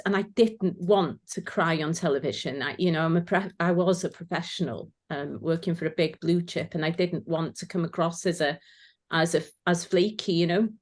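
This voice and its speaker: A woman's voice: fairly low pitch, a little mellow. A woman whose first language is Welsh, chatting casually as a guest and telling stories with many fillers. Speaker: guest, former sewing contestant. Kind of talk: casual chat.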